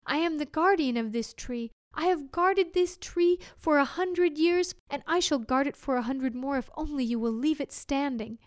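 A soft-spoken fairy from a storybook, as a woman puts her on, gentle and solemn, pleading quietly. The voice sits high and the sound is dry and close. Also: moderate pace, hardly any pauses, dry recording, close to an everyday voice. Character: gentle, solemn female fairy guardian of a tree.

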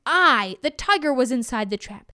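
A woman's voice: high voice, lively intonation, moderate pace, bright, forceful tone, smooth, no room sound, clean studio sound. A woman voicing a haughty, scheming male tiger in a storybook, exasperated and imperious, stressing words emphatically. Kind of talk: storybook character voice.

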